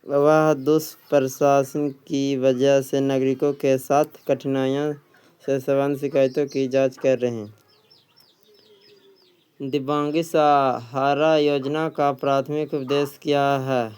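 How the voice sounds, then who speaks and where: A man's voice: mid-range voice, little pitch movement, slow pace, a little mellow, clean tone. A man working as an exam coach, reading quiz questions and answers aloud in an instructional way, often repeating them.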